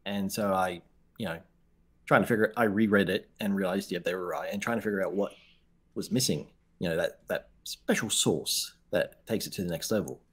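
An Australian man in his thirties chats over a headset video call, his voice sitting a little low. He pokes fun at himself, laughs readily and often restarts his words. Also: brisk, fairly bright tone, breathy edge. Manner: self-deprecating, laughs often, repeats words when starting. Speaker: guest author. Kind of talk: casual video-call interview.

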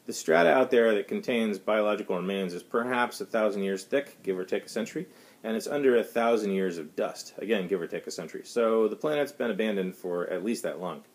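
A mild ship's doctor in a science fiction story, read out by a man. The voice is deep, and the manner is technical and a little hesitant. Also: fairly quick, close to an everyday voice. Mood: explanatory. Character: mild, explanatory ship's medical officer. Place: small home study, indoors.

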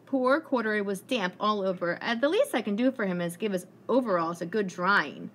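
A woman's voice: medium pitch, lively intonation, brisk, lightly acted. A woman voicing a kindly, musing male artist from a children's storybook. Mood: thoughtful. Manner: musing, gentle wondering. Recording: clearly noisy room recording.

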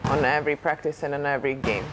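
A woman's voice: sits low, fairly even intonation, quick pace, background noise. A young woman from Serbia, a Serbian-speaking basketball player, answering thoughtfully in an interview in a large indoor arena on a lapel mic, with many fillers like "like" and "uh.". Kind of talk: casual interview.

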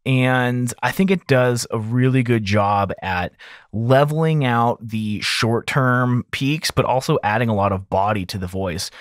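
A man talking informally and thinking aloud as an audio engineer in a software tutorial voice-over. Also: on the low side, dry recording, clean studio sound.

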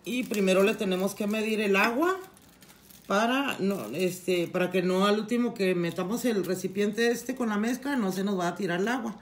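A middle-aged woman explaining a recipe step by step in her home kitchen, unhurried and plain, with frequent restarts. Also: mid-range voice. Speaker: home cooking channel host. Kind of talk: casual cooking tutorial.

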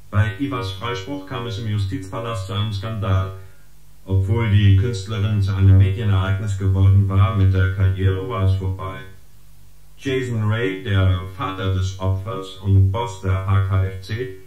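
An actor playing a knowing investigator who reports a scandal in a science fiction radio play. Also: male voice, on the low side, close to an everyday voice. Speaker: actor in an audio drama. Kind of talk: dramatised expository storytelling in a radio play. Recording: echoey, guitar music behind. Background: plucked guitar music.

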